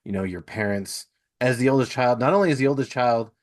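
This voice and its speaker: A man's voice: medium pitch, fast talker. A man in his thirties hosting a casual interview, affirming his guest and often repeating and rephrasing his own points. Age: 30s. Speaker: podcast host, interviewer.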